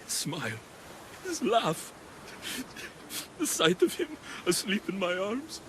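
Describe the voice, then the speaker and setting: An actor playing a battle-hardened young Greek father in a video game, grieving and tender, with a deliberate, heartfelt delivery. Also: high voice, sing-song, background noise, heavily acted. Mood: emotional, loving. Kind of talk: dramatic video game acting.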